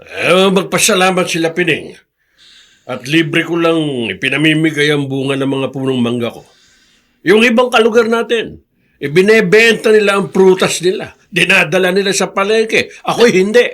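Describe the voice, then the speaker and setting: A man playing a stingy, bitter old man in a radio drama, scolding with a harsh, sharp tongue. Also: sits high, moderate pace. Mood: smug. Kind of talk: Filipino radio drama acting.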